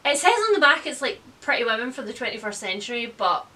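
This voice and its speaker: A woman's voice: fairly high pitch, animated, quick pace, sharp tone. A woman in her thirties hosting a casual, opinionated book chat, speaking in a blunt, wry way with some mild swearing. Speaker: book reviewer, video host. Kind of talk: casual chatty book discussion. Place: indoors, room lined with bookshelves.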